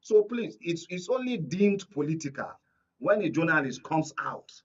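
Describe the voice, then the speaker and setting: A Nigerian-accented man with a rough, husky voice at a slightly raised pitch. He argues insistently as a panel journalist, repeating his points. The sound is dull and lo-fi. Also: lively intonation, normal speed. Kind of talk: heated panel debate. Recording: dull, lo-fi broadcast audio.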